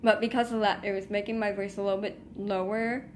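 A woman's voice: mid-range voice, level tone, a little fast. Teen girl, casual vlog venting, rambling with restarts, phone mic at arm's length, faint background hiss. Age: teens. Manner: rambling, restarts and trailing asides. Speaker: vlogger. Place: small indoor room. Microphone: phone camera at arm's length.

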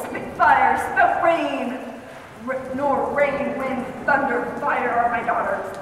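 A young woman voicing an aged, raging old king during a storm, in an amateur class-project puppet reading. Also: sits high. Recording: echoey room, far from mic, background noise.

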